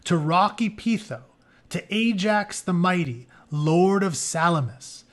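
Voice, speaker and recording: Guy teacher doing a read aloud, voice a bit on the high side, chatty and informal, explains stuff as he goes. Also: expressive, measured pace, fairly bright tone. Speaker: teacher reading a book aloud.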